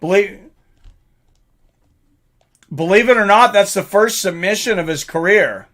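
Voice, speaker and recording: Male live fight commentator, high bright voice, informal and excitable, chatty streamer delivery. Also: unhurried. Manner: excitable, informal, swearing, reacting aloud. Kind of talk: live fight commentary mixed with casual chat to viewers.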